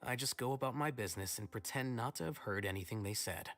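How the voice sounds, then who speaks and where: A man playing an aloof, bookish young scholar in a fantasy video game, speaking in a measured deadpan. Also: medium pitch, lightly acted. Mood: dry, unbothered.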